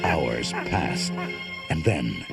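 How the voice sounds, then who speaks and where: Very animated male storybook narrator. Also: rumbling low, very slow, vocal fry. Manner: dramatic, expressive storytelling. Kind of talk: scripted children's storybook narration. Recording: cassette transfer with loud music behind. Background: loud music.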